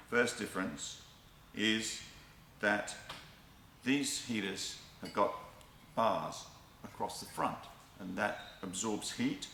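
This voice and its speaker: A man presenting a product demonstration in a deliberate, explanatory way with emphatic stresses. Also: fairly low pitch, sharp tone. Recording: echoey room, faint background noise.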